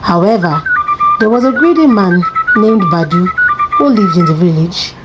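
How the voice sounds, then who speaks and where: Female narrator for a children's folktale, mid-range voice with big swings in pitch, prominent music bed. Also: very animated, normal speed, highs cut off. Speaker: storyteller.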